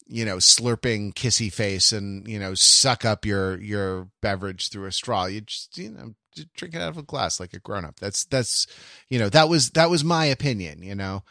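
Halting man chatting, close dry sound. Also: on the low side, expressive, pushed and bright, dry recording. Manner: halting, rambling, full of self-interruptions. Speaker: podcast host. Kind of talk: casual chat.

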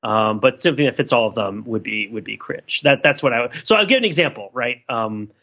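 Bright-voiced man, slightly dull recording. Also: medium pitch, expressive. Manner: wordy, halting, explains in structured points. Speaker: podcast co-host. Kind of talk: analytical podcast discussion, casual.

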